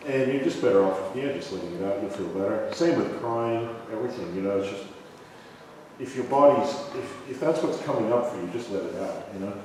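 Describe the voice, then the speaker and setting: A man's voice: on the low side, animated, fairly quick, darkish tone. A man lying on a massage table, talking earnestly and at length about letting feelings out. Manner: earnest, rambling, talks through his feelings. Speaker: massage client. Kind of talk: casual chat. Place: large echoey teaching room. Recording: echoey hall, far from the camera, noisy.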